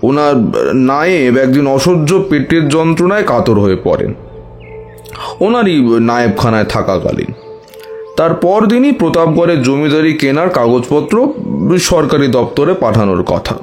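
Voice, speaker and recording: A man playing an animated, wise tantric storyteller. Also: a little high, soft background music, close to an everyday voice. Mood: reminiscing, measured. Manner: measured storytelling with thoughtful hums and pauses. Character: wise tantric priest, older man, recounting eerie memories. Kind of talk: Bengali audio horror story acting.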